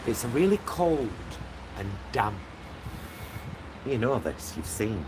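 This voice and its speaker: A man talking casually to viewers in a halting, reflective ramble, often ending thoughts with little tag questions. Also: big pitch swings. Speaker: vlogger. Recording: loud vehicle and street ambience noise. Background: loud vehicle rumble and ambience.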